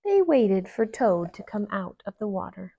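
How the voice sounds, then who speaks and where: A woman in her thirties reading a children's story aloud with expressive, deliberate narration. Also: medium pitch, creaky, husky. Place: living room, indoors on a couch.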